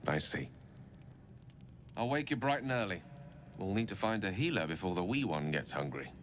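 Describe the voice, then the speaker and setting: An actor playing a sardonic, brooding wizard in a video game, with wry, measured and articulate delivery. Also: male voice, low-pitched, sing-song, a little hiss or hum. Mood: sombre, musing. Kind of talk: video game dramatic dialogue.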